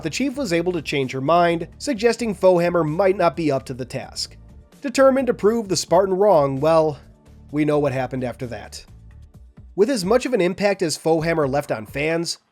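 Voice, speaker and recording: Higher-voiced man narrating game lore. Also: a little mellow. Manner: enthusiastic, analytical, addresses viewers directly. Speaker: lore analysis host.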